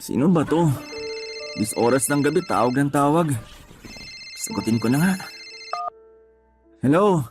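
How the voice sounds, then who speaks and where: Seloso at mainiting nobyo. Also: male voice, fairly high pitch, lively intonation, unhurried, pauses often, over music. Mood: irritated, sulky. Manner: accusing, defensive. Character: jealous, insecure, easily offended young boyfriend. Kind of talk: Filipino radio love drama acting.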